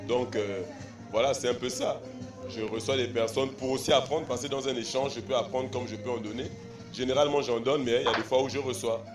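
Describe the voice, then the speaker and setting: A man's voice: mid-range voice, steady tone, brisk. Young guy from gabon talking at a stand, lots of ums, far from the mic, echoey, music going. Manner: hesitant, many fillers and restarts. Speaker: language stand organizer. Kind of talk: field interview.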